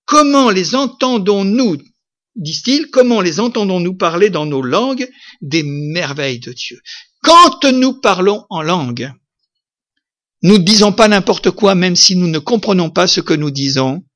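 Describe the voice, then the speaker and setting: A man preaching a sermon with emphasis, lifting his voice to loud peaks and then dropping it low. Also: big pitch swings, measured pace. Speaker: pastor.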